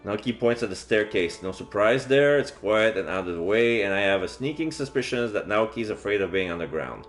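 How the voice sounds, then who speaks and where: A man with a slightly low voice reads game text aloud as a streamer. He mixes narration with casual asides, and faint game music sits behind him. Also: lively intonation, brisk. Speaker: streamer playing a visual novel.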